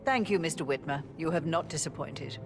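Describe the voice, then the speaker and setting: Picture a ruthless older matriarch, who speaks in a clipped and imperious tone, giving orders with little warmth. This is game dialogue with music playing behind her. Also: sits low, a little fast. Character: cold, commanding older woman, ruthless head of a rich family. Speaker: wealthy family matriarch.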